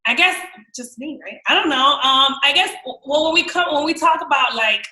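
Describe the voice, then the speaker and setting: Bright-voiced young woman with a New York accent. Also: sits high, fairly quick, echoey room, poor audio. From New York, raised in Miami. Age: young adult. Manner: animated, slangy, quotes people in voices. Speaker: guest actress. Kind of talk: casual storytelling chat.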